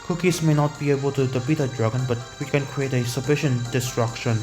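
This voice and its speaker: An actor voicing a jolly old cookie sage who talks in a calm, measured way with unhurried chuckles, in an animated fantasy fandub. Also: mid-range voice, lightly acted. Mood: calm, amused. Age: older. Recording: voice over background music.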